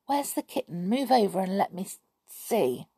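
A woman voicing a practical, impatient young child who reasons in quick whispers. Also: medium pitch, big pitch swings, gravelly, dark tone, husky, no echo, studio quality, heavily acted.

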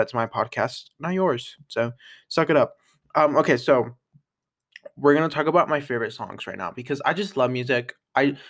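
A young man hosting an unscripted podcast, chatting casually and rambling, with lots of fillers, restarts and quick laughs. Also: mid-range voice, a bit bright. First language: English. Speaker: podcast host.

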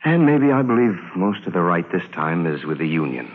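A man playing an earnest, gentle young army lieutenant in old-time radio drama. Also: deep voice, big pitch swings, brisk, phone-quality sound. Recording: band-limited vintage radio recording.

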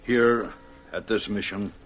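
A grave, kindly mission priest in a vintage radio drama. His low voice is measured and formal, heard through a slightly muffled old broadcast. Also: fairly even intonation, faint music behind. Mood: grave, confiding. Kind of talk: 1940s-50s radio western acting.

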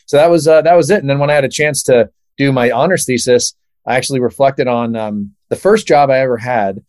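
A man's voice: mid-range voice, brisk, a little mellow, no echo. A man in his thirties from Southern California, answering interview questions eagerly with many fillers. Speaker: guest, startup founder.

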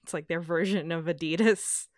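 A woman's voice: lowish voice, fairly even intonation, dry recording, studio quality. A woman co-hosting a podcast, reacting to a story with sarcastic quips and questions. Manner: sarcastic, quick quips, laughs often. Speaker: podcast co-host. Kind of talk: casual chat, reacting.

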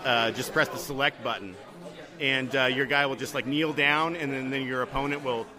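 Male guest, medium pitch, hesitant conversational delivery, busy crowd in the background. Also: steady tone, moderate pace. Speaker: podcast guest. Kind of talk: casual chat. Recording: busy crowd noise around the voice.

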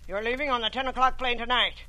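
A man's voice: very high-pitched, fairly even intonation, fast, sharp tone, heavily acted, low-quality recording. An actor playing a sickly, domineering old rich man in 1940s radio drama, brusque, formal and scornful. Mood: imperious, impatient.

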